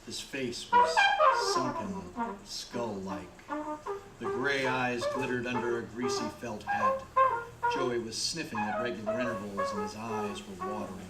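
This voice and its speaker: A man's voice: mid-range voice, very animated, unhurried. A man acting as the plain, grim storyteller of a radio play, reading scripted narration. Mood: grim, measured. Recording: roomy, with music behind.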